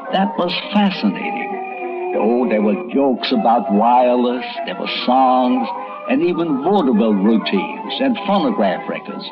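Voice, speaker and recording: A man narrating a documentary in a conversational, storytelling way. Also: medium pitch. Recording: dull vintage audio with music behind.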